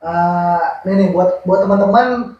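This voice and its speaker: A man with a Manado accent co-hosting a casual podcast chat, talking in loose, halting slang with restarts. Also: high voice, dull audio. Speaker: podcast co-host. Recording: roomy echo with faint background noise.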